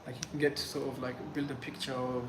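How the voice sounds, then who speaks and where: A young man whose first language is Pashto chats loosely through a live Q&A, stringing his answers together with plenty of "like" and restarts. His phone picks him up in a roomy, echoey space with a clear hum of noise behind him. His pitch sits in an ordinary middle range. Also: level tone, fast talker. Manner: loose and chatty, lots of "like" and restarts. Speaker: livestream host answering chat questions. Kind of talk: casual live Q&A. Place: in Medellín, Colombia.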